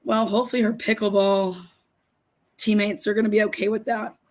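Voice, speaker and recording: Woman, middle-aged, hoarse raspy voice, blunt profane ranting, livestream host chat. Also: mid-range voice, flat delivery, brisk, darkish tone. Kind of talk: casual livestream chat reading viewer comments.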